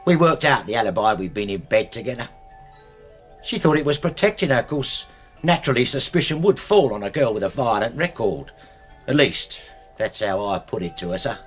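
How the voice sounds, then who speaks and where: A British manservant in a radio drama, his voice husky at a middling pitch. He is deferential and plain-spoken, a loyal soldierly type who says terrible things coolly. Faint music runs beneath. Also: lively intonation, moderate pace. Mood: matter-of-fact. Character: deferential male servant, loyal ex-soldier, coolly confessing murder.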